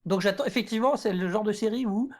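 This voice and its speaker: Podcast co-host, woman with mid-pitched voice. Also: fast talker, a little mellow. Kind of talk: casual round-table chat.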